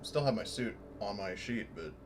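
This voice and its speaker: A man's voice: fairly low pitch, animated, normal speed, a little hiss or hum. A man chatting casually as a player in a tabletop roleplaying game.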